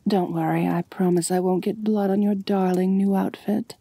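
A woman playing an elegant, doting, gently dominant vampire mistress in an intimate ASMR roleplay, coaxing in a soft, genteel voice close to the microphone. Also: mid-range voice, fairly even intonation, dry recording. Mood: reassuring, poised. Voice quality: soft, breathy, husky. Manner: slow, coaxing, genteel, purring endearments. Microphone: close, dry microphone.

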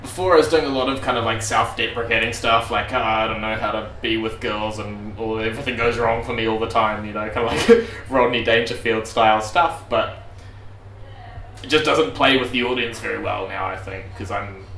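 A young man with a New Zealand accent, a stand-up comedian with a dry, deadpan, self-deprecating delivery, speaking into a handheld mic in a brick comedy club. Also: lowish voice, brisk, reverberant, distant mic, slight background noise, rough recording. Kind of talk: stand-up set and casual interview. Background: crowd laughter.

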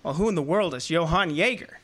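A man's voice: a little high, lively intonation, a little fast, fairly bright tone. A man playing a tough, wisecracking detective's assistant in an old-time radio drama, talking in breezy hard-boiled slang. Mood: brash, puzzled.

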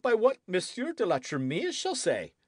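A man's voice: high voice, huge pitch swings, moderate pace, breathy edge, no echo, clean studio sound, pitched above the performer's own voice, heavily acted. A man reading an audiobook, voicing a suspicious, petulant young French king in a querulous, demanding way. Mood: pressing. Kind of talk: audiobook character voice.

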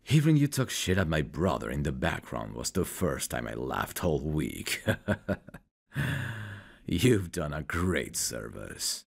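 Guy playing a teasing flirty dude for asmr roleplay, slow drawn out talk, gravelly n husky, intimate. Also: rumbling low, huge pitch swings, full character voice. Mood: reflective, warm.

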